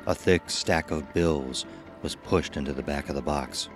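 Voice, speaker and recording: A man narrating a scripted horror story in a deliberate, dramatic, measured style. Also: a bass voice. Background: music under the voice.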